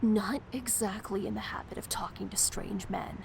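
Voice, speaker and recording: An actress playing a guarded, snarky young heiress in an audio drama, wary and sardonic. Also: female voice, mid-range voice, steady tone, moderate pace, creaky, a little mellow, a little hiss or hum, close to an everyday voice.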